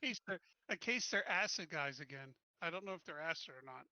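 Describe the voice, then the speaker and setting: A man playing a tabletop game over a voice call, talking strategy with quick restarts. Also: a little high, expressive, sharp tone, dry recording, lo-fi sound. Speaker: player. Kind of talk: casual game table talk.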